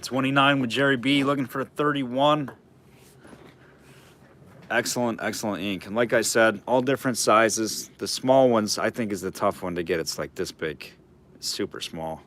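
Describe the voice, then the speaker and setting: Hoarse man calling a live auction. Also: on the low side, moderate pace, fairly bright tone, a little hiss or hum. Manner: chatty, rambling asides between bid calls. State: hoarse, losing his voice.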